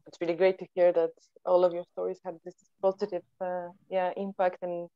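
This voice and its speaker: A woman moderating a panel discussion on a video call, talking with many pauses and restarts. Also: lowish voice, monotone, no echo. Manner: hesitant, many ums and restarts. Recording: dry, muffled call audio.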